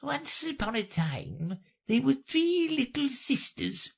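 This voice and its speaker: A reader voicing a sleepy, feeble little male dormouse from a children's storybook, with a hoarse, drowsy, faltering voice. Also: sits high, very animated, slow, voice pushed up, exaggerated voice.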